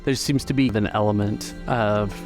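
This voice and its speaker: A man's voice: on the low side, steady tone, normal speed, over music. A man acting as game master, casually narrating and refereeing a tabletop roleplaying game.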